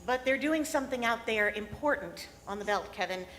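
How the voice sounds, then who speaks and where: Woman as older mentor, encouraging, measured, earnest, radio play, faint background noise. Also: on the high side, animated, a bit bright. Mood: earnest, wistful. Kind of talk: live radio theatre acting.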